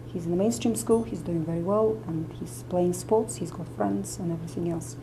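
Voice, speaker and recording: A middle-aged woman from Russia with a Russian accent, a medical doctor and nutritionist explaining her views to camera in an earnest, steady way. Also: fairly low pitch, normal speed, noisy background.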